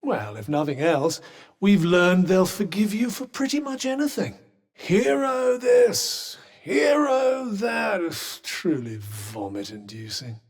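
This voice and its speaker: A man's voice: high voice, animated, moderate pace. A man with a British accent voicing a haughty, sardonic magical cuff in a video game, dry and pompous in manner. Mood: dry, wry. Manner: dry, pompous, sarcastic, theatrically exasperated. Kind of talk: scripted game character lines.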